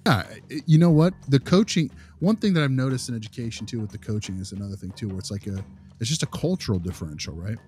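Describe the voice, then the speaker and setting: A man's voice: medium pitch, lively intonation, fast, a little mellow, soft background music. A man talking through his opinions in a casual podcast discussion, repeating phrases for emphasis. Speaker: podcast co-host, behavior analyst.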